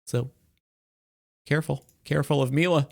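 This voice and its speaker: A man's voice: a little high, steady tone, moderate pace, vocal fry, darkish tone. A man hosting a gaming talk show, commenting casually on clips in a profane, hyped way. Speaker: talk show host and streamer.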